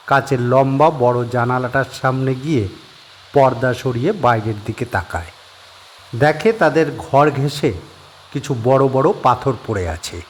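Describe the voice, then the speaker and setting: A man narrating a horror audio story in a measured storytelling manner. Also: mid-range voice.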